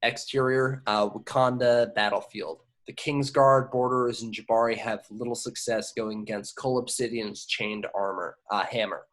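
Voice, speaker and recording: A man's voice: medium pitch, fairly even intonation, normal speed, few pauses, fairly bright tone. A man reading scripted action descriptions at an amateur table read, now and then stumbling and repeating words. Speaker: stage directions reader.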